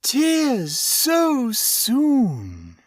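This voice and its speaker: A man voicing an ancient creature that feeds on fear, crooning slowly and slipping into whispers. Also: extremely high pitch, huge pitch swings, dry recording, clean studio sound, pitched above the performer's own voice, heavily acted. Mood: ravenous. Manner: slow, crooning, drifting into whispers. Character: ancient creature feeding on fear, gloating and hungry.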